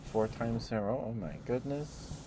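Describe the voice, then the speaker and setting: A man hosting a casual ASMR livestream, chatting to viewers in a gentle, apologetic, rambling way. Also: mid-range voice, moderate pace. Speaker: livestream host. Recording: clearly noisy background.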